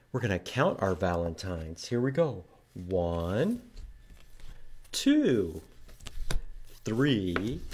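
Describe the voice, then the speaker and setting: A man in his fifties reading to young children as an animated library storytime host. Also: on the low side, slight background noise. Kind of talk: children's storytime read-aloud. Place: indoors in a library room.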